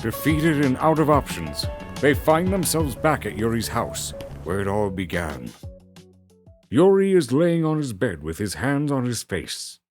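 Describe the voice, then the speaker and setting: A man's voice: medium pitch, big pitch swings, measured pace. A man narrating a scripted story as a dramatic narrator. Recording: music behind the voice. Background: clear background music.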